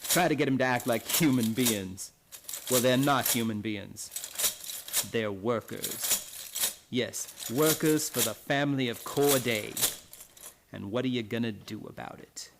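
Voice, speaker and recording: Scornful factory overseer for radio drama: extremely low voice, blunt, noisy clinking recording. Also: female voice, a little slow. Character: hard, cynical overseer of bred factory workers. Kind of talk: radio play acting.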